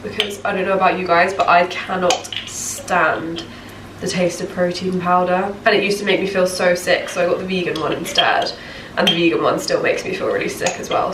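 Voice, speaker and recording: A woman's voice: lowish voice, distant mic, noisy background. A woman in her twenties chatting casually in a study vlog, sounding bunged up from a cold, with a rambling, filler-heavy way of talking. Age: 20s. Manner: chatty, rambling, lots of likes and restarts. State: congested with a cold. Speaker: vlogger.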